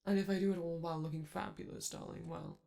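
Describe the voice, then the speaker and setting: Man performing a flamboyant, doting male robot celebrity for ASMR roleplay, theatrical and coaxing, lively pitch with a gravelly fry. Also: fast talker, full character voice. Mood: coaxing, flirty. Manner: theatrical, coaxing, calls listener darling.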